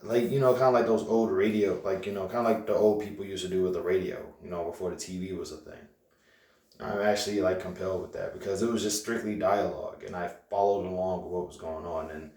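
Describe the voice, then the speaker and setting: A young man rambles through his week with plenty of fillers and restarts. His voice sits very low. It rings through an echoey room, picked up from a distance. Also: fairly even intonation, quick pace, darkish tone. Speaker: vlogger. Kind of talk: casual vlog rant.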